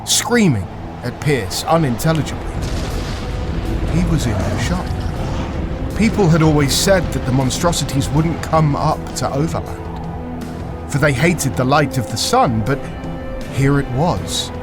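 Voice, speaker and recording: A man narrating a dark fantasy audio story in a deliberate, suspenseful storytelling style. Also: on the high side, expressive, loud music behind the voice. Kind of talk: dramatic scripted fantasy narration.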